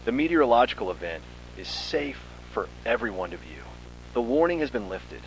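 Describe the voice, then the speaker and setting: A man's voice: medium pitch, very animated, a little fast, a little hiss or hum, poor audio. A man reading a scripted second-person horror story in a dramatic, suspenseful manner.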